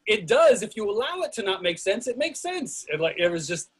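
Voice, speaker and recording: A man hosting a discussion on a video call, excitable and lively, often restarting his sentences. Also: high-pitched, brisk, breathy edge. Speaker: host of a play reading discussion. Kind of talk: casual group discussion.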